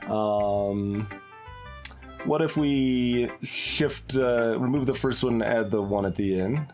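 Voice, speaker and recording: A man's voice: medium pitch, slow, a little mellow, soft background music. A man giving casual live commentary on a game playthrough, thinking aloud in a hesitant way. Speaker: gaming streamer.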